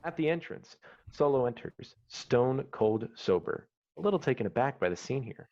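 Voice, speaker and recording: A man's voice: medium pitch, animated, normal speed, a little mellow. A man reading stage directions and scene headings as the narrator of a screenplay. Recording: dull, slightly muffled online call audio.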